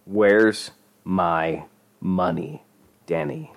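A man's voice: sits low, normal speed, close to an everyday voice. Man acting out a menacing, furious drug dealer. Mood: threatening. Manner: grumbling, shouting threats, swearing.

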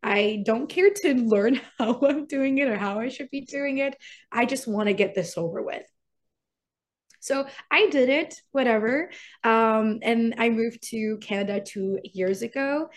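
A woman's voice: a little high, unhurried. A young woman from Iraq, a guest on a podcast, chatting casually with frequent laughs and fillers. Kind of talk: casual interview chat.

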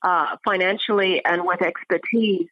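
A woman speaking as an academic guest in an interview, explaining things in a measured, scholarly manner. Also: on the low side, fairly even intonation, highs cut off. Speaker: guest academic, archaeology professor.

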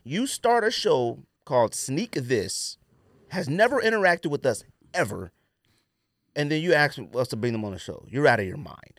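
A man's voice: medium pitch, very animated, moderate pace, no room sound, clean studio sound. A man hosting a podcast in casual, slangy chat, blunt and emphatic, often repeating his phrases for effect. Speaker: podcast host.

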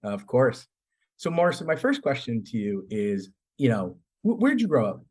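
Male podcast host, husky mid-pitched voice, introducing and interviewing. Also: huge pitch swings, normal speed, darkish tone.

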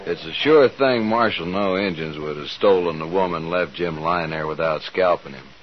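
A deep-voiced frontier townsman played by a man with a rural American accent. He is blunt, skeptical and plain-talking, in the manner of a 1950s radio western. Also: normal speed, lightly acted, rough recording.

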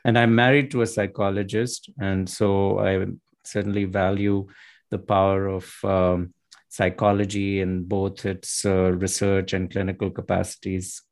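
A man answering interview questions as an author and academic, speaking in an articulate, measured way with light laughs. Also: low-pitched, flat delivery. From United States and Pakistan.

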